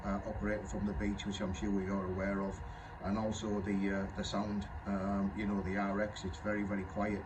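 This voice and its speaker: A man in his fifties chatting casually over amateur radio, explaining things in a halting way. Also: fairly low pitch, noisy background. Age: 50s. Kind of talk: casual radio contact chat.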